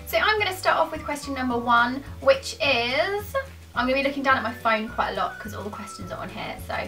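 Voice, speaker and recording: A woman's voice: high voice, lively intonation, a little fast, sharp tone, distant mic, soft background music. A British woman in her twenties chatting casually to camera in an informal, self-deprecating, rambling way. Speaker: YouTuber answering questions to camera. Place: small furnished room at home, indoors.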